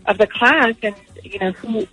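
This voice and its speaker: A woman with a husky voice in a spontaneous phone conversation, questioning and incredulous in manner. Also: on the high side, normal speed, pushed and bright. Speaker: parent, prank call victim. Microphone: telephone. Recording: phone call with faint music behind.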